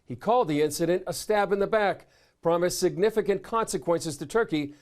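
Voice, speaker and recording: A man's voice: sits high, fairly quick, heavy background noise. A man narrating a scripted news report as a correspondent.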